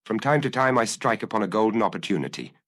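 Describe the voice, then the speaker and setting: A man's voice: fairly low pitch, animated, fast talker, fairly bright tone. A man voicing a dry, hard ex-inspector turned blackmailer in an audiobook detective story, speaking with firmness and precision. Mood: brisk, self-assured. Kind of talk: audiobook character acting.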